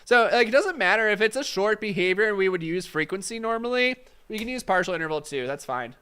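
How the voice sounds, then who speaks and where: A man's voice: sits high, expressive, quick pace, sharp tone, clear, resonant tone. A man with a congested, fading voice teaching a casual live-stream exam study session, answering chat questions with lots of fillers. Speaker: exam prep instructor.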